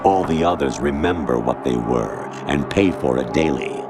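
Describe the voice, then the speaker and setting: Artificial intelligence, male, bottom-deep pitch, cold, authoritative, condescending, dramatic game cutscene, loud score behind. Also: loud music behind the voice. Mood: smug, triumphant. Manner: measured, lecturing, authoritative. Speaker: video game villain.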